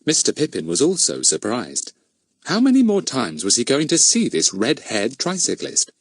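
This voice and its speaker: A man reading a children's story as an audiobook narrator, in an expressive storytelling delivery. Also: medium pitch, normal speed, no echo.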